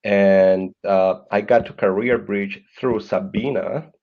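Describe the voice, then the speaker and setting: A man's voice: lowish voice, steady tone, slow pace. A man from Colombia with a Colombian Spanish accent, in his thirties, earnestly telling his life story as a podcast guest and often repeating words while he searches for them. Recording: dull lo-fi sound.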